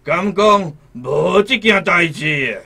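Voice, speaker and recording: An actor with a Taiwanese accent playing a pompous, unfeeling colonial magistrate in a radio drama, drawling officiously. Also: male voice, high voice, lively intonation, slow, gravelly, sharp tone, full character voice. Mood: officious, dismissive.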